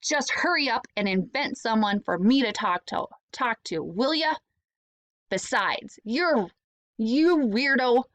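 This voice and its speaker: Lady doing a whiny storybook kid, sarcastic and fed up, high voice, stretches words out. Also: animated, fairly bright tone, dry recording. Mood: indignant. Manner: indignant, whiny complaining with drawn-out emphasis. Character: exasperated, sarcastic young boy hero of a storybook.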